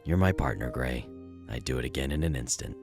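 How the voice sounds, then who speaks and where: Loyal male detective, acted by a man, extremely low voice, earnest and confiding, audio drama over clear music bed. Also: fairly quick.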